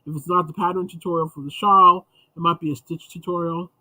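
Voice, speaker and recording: A man's voice: on the high side, fairly even intonation, brisk, pushed and bright, no room sound, rough recording. A man hosting a casual livestream chat, rambling and chatty with frequent restarts, sounding congested and sniffly. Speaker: livestream host.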